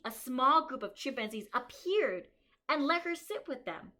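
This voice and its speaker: A woman's voice: on the high side, bright, forceful tone. A kindergarten teacher, a woman, reading a picture book aloud to young children and stopping to think aloud and explain what the author and illustrator show. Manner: slows down and stresses key story lines.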